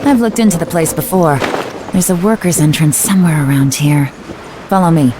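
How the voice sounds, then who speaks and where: A woman's voice: low voice, fairly quick, noisy background, lightly acted. An actress voicing a cool, shrewd woman spymaster in a video game, composed, authoritative and dryly confident. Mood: cool, alert.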